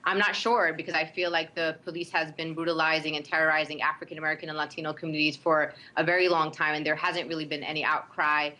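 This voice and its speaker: Low, bright-voiced Brooklyn woman. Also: flat delivery, fast, few pauses, rough recording. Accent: Brooklyn New York. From Brooklyn, New York. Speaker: interview guest, community advocate. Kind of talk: spoken advocacy in an interview.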